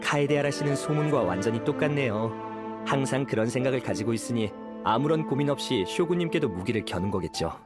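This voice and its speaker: An actor playing a friendly, eager young detective agency employee in an anime game, chatting politely with music behind him. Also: male voice, medium pitch, expressive, fast talker, lightly acted. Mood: admiring.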